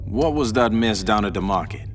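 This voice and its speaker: An actor playing a suave, guarded, shrewd club boss in a video game, speaking in a measured, cagey, wry way. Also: male voice, on the low side, animated, close to an everyday voice. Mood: suspicious. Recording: game cutscene with loud music. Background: loud game music.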